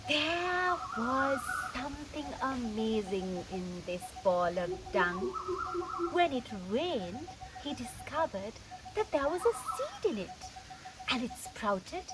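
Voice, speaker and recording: A high-voiced woman reads a children's story with lively swings in pitch. She is teacherly and expressive, drawing out key words and asking questions. There is clear noise behind her. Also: slow. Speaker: storyteller reading aloud to children. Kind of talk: interactive picture-book read-aloud with questions.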